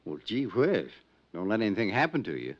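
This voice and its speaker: A man's voice: fairly low pitch, wildly swooping pitch, brisk. An American actor playing an anxious, friendly insurance company man, earnest and fretful, in 1950s radio drama style. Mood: worried. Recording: muffled 1950s radio recording.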